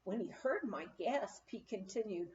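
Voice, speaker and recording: A woman reading an anecdotal memoir aloud as an expressive storyteller. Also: mid-range voice, measured pace, muffled recording. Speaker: audiobook reader.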